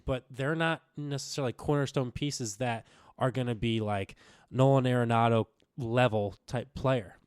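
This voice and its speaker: Slightly hoarse man chatting about sports. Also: medium pitch, no echo, clean studio sound. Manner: rambling with many fillers and restarts. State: recovering from illness, a bit raspy. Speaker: podcast co-host. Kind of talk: casual sports chat.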